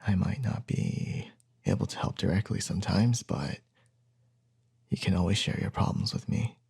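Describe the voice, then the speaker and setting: A man playing a gentle, reassuring young boyfriend in an intimate ASMR roleplay, speaking softly and tenderly, often close to a whisper, with hesitant pauses. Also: low voice, exaggerated voice. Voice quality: soft, breathy, husky, often near a whisper.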